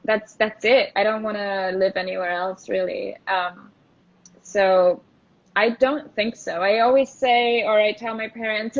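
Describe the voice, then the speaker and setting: A young woman from Austria, a guest in a casual interview chat, thoughtful and full of fillers and restarts. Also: mid-range voice. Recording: lo-fi video call audio.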